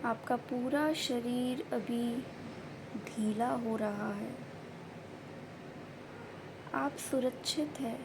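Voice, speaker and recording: A woman guiding a meditation in a gentle, soothing manner, with short unhurried phrases of instruction. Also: a little high. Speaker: meditation guide. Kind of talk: guided meditation narration. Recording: faint background noise and ambience.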